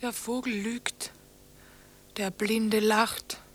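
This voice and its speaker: An actress in a German radio drama playing a tormented, feverish psychiatric patient who raves accusingly in broken, incantatory phrases. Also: female voice, a little high, flat delivery, drawn-out words, a bit bright, exaggerated voice. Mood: feverish, suspicious.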